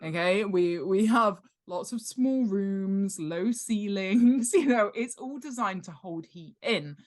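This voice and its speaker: A woman's voice: medium pitch, expressive, slow pace. A British woman chatting as an expert guest in a casual interview, explaining things in an emphatic, self-deprecating way. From United Kingdom. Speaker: guest, author and world-building expert.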